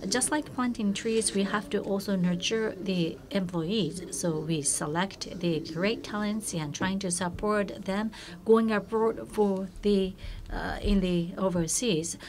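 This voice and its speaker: Woman interpreter, stop-start delivery, lots of uh, audience noise behind her. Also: medium pitch. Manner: halting, many fillers and restarts. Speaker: conference interpreter. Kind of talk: consecutive interpretation.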